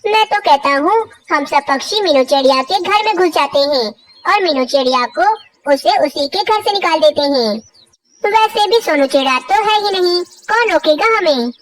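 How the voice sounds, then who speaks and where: A woman's voice: high voice, expressive, moderate pace, noisy background. A woman voicing childlike cartoon forest birds in a children's moral story, with exaggerated, simple storybook delivery. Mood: scheming. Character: cartoon forest birds, scheming, envious and put-upon, squeaky and childlike.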